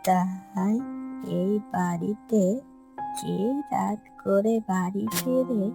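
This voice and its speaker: Woman as elderly grandma, cartoon, knowing, concerned, deliberate drawn-out speech, extremely slow, music behind. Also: mid-range voice, creaky. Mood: grave storytelling. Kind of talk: cartoon story voice acting.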